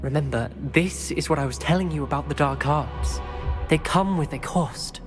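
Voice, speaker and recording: A man's voice: on the high side, normal speed, lightly acted. A young man acting as an anxious, principled teenage schoolboy wizard in a video game, with a British accent and formal, grave speech. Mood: reluctant. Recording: music playing behind the voice.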